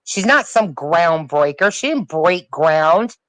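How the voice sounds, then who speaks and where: A woman's voice: fairly low pitch, animated, slow, dry recording. A woman on a live-streamed panel chat, talking about music in an emphatic, opinionated way and repeating her points. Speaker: panel guest.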